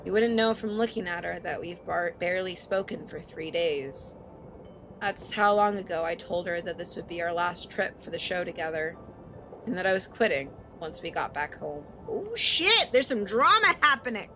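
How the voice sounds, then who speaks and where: A woman's voice: lowish voice, expressive, normal speed, sharp tone, soft background music. A woman live-streaming, reading a story aloud with casual, playful and sometimes profane asides. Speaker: streamer hosting a visual novel read-aloud.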